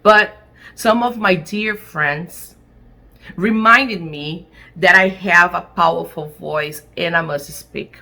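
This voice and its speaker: A woman who is an attorney and an immigrant, giving an impassioned personal statement in English, her second language, in short, deliberate, emphatic phrases. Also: lowish voice, bright, forceful tone. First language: not English.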